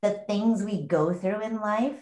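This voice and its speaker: A woman in her forties, a sales executive speaking in a measured way on a webinar panel over a video call. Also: medium pitch, mellow. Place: home room, indoors. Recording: echoey room on a video call.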